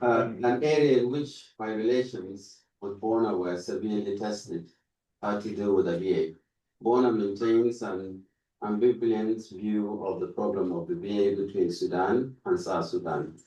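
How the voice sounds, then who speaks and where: A man reading a prepared tribute aloud at a conference, haltingly, stumbling over words and repeating them. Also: fairly low pitch, fairly even intonation, mellow, dull audio. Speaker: speaker reading out a written tribute. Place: indoor conference room. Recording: roomy echo, distant microphone.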